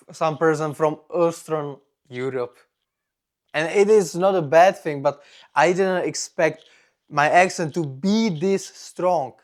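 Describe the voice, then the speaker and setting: A young man from the Czech Republic, a native Czech speaker with a strong Czech accent, gives a personal vlog monologue in deliberate, simple non-native phrasing. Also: on the high side, a little mellow. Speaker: vlogger.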